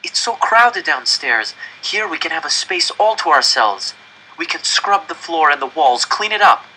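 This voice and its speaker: A man voicing an eager young boy who pleads with urgent excitement in an audiobook story. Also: on the high side, moderate pace, slight background noise, voice pushed up, full character voice, low-quality recording. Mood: excited, pleading.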